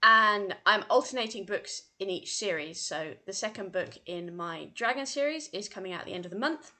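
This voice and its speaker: Bright-voiced British woman, chatty guest. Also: mid-range voice, expressive, normal speed. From Britain. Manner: chatty, laughs easily. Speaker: guest author. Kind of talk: casual podcast chat.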